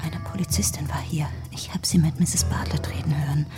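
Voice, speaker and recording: An actress playing a criminal's anxious partner, hushed and urgent, in a crime radio drama. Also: female voice, low voice, steady tone, fairly quick, in a whisper, soft background music, natural voice. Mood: worried, urgent.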